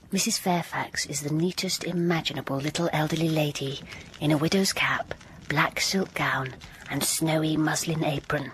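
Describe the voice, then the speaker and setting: An actress playing a reserved young governess, narrating in a soft, restrained voice in a radio drama. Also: female voice, deep voice, slight background noise, rough recording. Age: young adult. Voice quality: soft, restrained, often near a whisper. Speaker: narrator and lead. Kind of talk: first-person drama narration. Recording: narration over music score.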